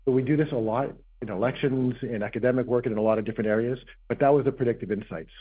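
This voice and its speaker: A hoarse man presenting his company as CEO at an investor session, brisk and packed with figures, restarting words as he goes. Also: medium pitch. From Canada. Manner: brisk, fact-heavy, frequent restarts. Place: conference session room. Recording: tinny phone-like line.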